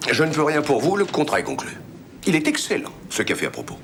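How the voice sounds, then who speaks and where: An actor playing a shrewd, courteous British expedition leader in a French film drama, formal, clipped and authoritative. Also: male voice, on the high side, wildly swooping pitch, fast, noisy background. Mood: firm, polite.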